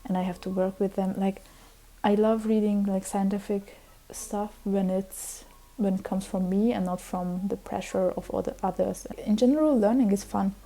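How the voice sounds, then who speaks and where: A woman's voice: mid-range voice, fairly even intonation, normal speed, mellow, poor audio. A young woman in her twenties, a native Hungarian speaker, chatting casually on her vlog, rambling with lots of fillers and restarts. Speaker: vlogger. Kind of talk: casual chat.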